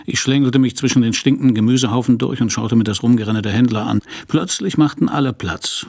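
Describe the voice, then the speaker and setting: A man playing a world-weary private detective who narrates his case in a hardboiled radio crime play, dry and laconic, with a husky, gravelly voice. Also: mid-range voice, brisk, a little mellow. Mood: wry, brooding. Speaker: narrator and lead actor.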